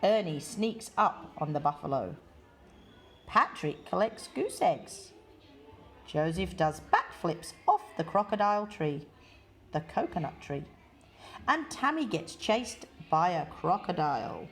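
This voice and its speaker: Woman reading a children's story, very expressive pitch. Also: a little slow. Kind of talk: read-aloud children's story.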